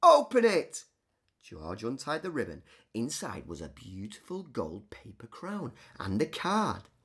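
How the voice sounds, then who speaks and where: A woman reading a children's storybook aloud as an expressive narrator. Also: rumbling low, a little slow, slightly rough. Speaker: storybook reader.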